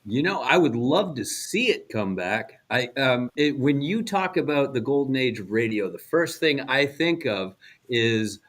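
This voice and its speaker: A man's voice: mid-range voice, expressive, a little slow, a bit bright. A man on a podcast panel, chatting casually and enthusiastically with illustrative detail. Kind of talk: casual chat.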